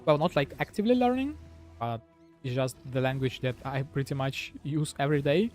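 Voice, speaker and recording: Chatty Russian-accented man, lively pitch. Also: a little fast, soft background music. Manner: rambling, heavy use of "like" fillers. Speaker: gaming streamer. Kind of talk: casual chat with viewers while gaming.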